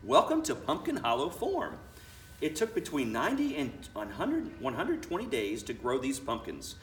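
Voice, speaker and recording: A man's voice: medium pitch, wildly swooping pitch, moderate pace. Man reading as an earnest young girl, echoey. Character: Trudy, bright inquisitive young girl. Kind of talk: children's picture book read aloud. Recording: roomy, distant mic, faint noise.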